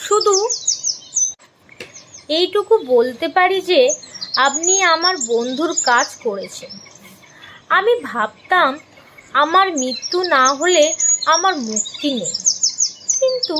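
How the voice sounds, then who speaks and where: Woman acting a high-voiced, sorrowful young widow. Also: animated, background noise. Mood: grateful, sorrowful. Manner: slow, deliberate, emotive delivery with pauses. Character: sorrowful young widow, gentle yet reproachful, confessing long suffering. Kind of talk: audio story drama acting.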